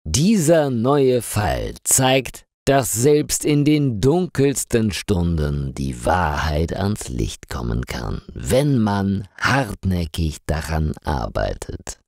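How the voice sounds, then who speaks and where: A man narrating a scripted crime story for language learners in a slow, clearly articulated reading with lots of rise and fall. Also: low voice, big pitch swings, a little mellow, no room sound, studio quality.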